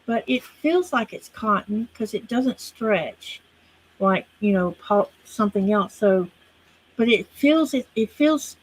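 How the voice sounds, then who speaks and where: A woman's voice: mid-range voice, expressive, takes it slowly, poor audio. A woman chatting casually and thinking aloud, with hesitant fillers as she talks. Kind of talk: casual chat.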